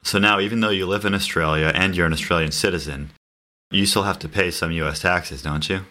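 A man's voice: low-pitched, brisk, pushed and bright, slight background noise. A man in a casual conversation who asks teasing, joking questions.

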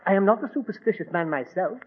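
An actor in a 1940s radio drama playing a courteous, cautious Egyptian foreign minister, formal and measured. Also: male voice, high-pitched, animated, husky. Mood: grave, courteous. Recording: tinny 1940s radio recording.

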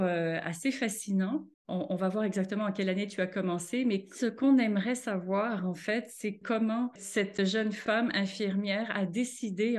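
A woman, co-hosting a podcast and introducing her guest in a measured way with fillers. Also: medium pitch. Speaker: podcast co-host. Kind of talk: interview introduction.

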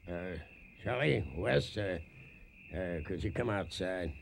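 Nervous trail hand, heavy gravel, halting Western drawl, radio drama with some background noise. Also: male voice, sits low, animated, full character voice. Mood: hesitant, uneasy. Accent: American Western drawl. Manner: halting, nervous stammer with small chuckles. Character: hesitant, stammering cowhand speaking for the men. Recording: noisy radio drama recording.

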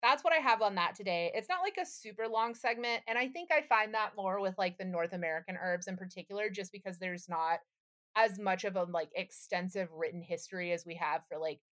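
A woman's voice: mid-range voice, a little fast, fairly bright tone. A woman co-hosting a podcast in casual, slangy chat, irreverent and freely swearing. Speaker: podcast co-host.

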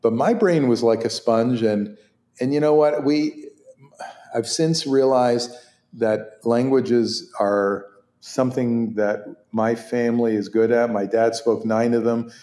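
An older man whose first language is Hebrew, recalling his past in an animated, rambling way, full of restarts and quick laughs. His voice sits at a middle pitch. The room around him rings with a clear echo. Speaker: interview guest, orthopedic surgeon. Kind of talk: conversational storytelling.

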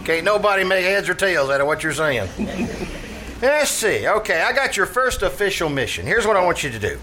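A man's voice: high-pitched, big pitch swings, fairly quick. An actor playing a scheming, blustering tavern manager who mangles his English in a folksy, pompous way, in old-time radio comedy style. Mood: instructing. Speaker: troupe actor. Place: radio theater stage. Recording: live stage show, faint noise.

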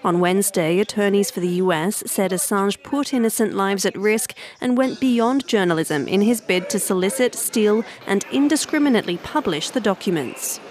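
A woman's voice: mid-range voice, moderate pace, few pauses, darkish tone. A woman news reporter reading a scripted broadcast report.

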